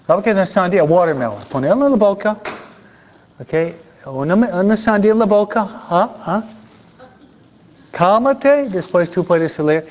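A priest delivering an earnest sermon in Spanish, often pausing for rhetorical questions. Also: male voice, sits high, animated, a little mellow. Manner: earnest, preaching with repeated pleas and rhetorical questions. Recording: dull lo-fi sound.

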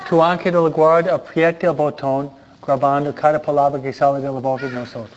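A priest delivering an earnest sermon in Spanish, often pausing for rhetorical questions. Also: male voice, fairly high pitch, fairly even intonation, slight background noise. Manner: earnest, preaching with repeated pleas and rhetorical questions. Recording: dull lo-fi sound.

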